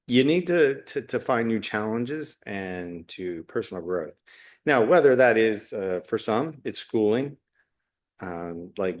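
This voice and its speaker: Man, middle-aged, lowish pitch, unscripted podcast monologue, reflective, halting phrasing. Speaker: podcast host, special education teacher. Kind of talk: unscripted solo podcast talk.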